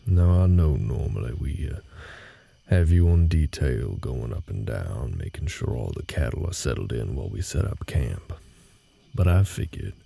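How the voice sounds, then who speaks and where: A man playing a gruff, guarded cowboy ranch hand in an audio roleplay, talking in a slow, folksy, halting way with a husky, gravelly voice. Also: rumbling low, big pitch swings, mellow, heavily acted. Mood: patient, matter-of-fact. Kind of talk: intimate scripted audio roleplay, slow speech to the listener.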